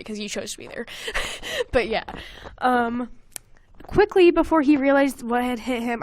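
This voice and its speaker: A woman's voice: on the high side, no room sound, studio quality. A young adult woman hosting a podcast, casually reading old fan fiction aloud with giggly, sweary comic asides. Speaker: podcast host.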